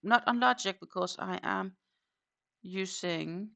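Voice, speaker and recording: A woman's voice: medium pitch, slow pace, vocal fry, bright, forceful tone. A woman with a Danish accent chatting casually on a crochet live stream, counting her stitches aloud and answering viewers.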